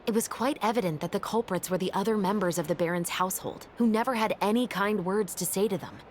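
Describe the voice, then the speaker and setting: A woman voicing a calm, composed female officer in a video game, speaking in a steady, matter-of-fact way. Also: mid-range voice, fast talker, slight background noise, natural voice. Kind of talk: game voice acting.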